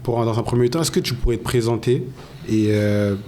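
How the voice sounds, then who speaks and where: Podcast host guy, lowish warm voice, kinda hesitant, lots of euh, little bit of hiss behind. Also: brisk. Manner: casual, hesitant, many fillers. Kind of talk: podcast interview.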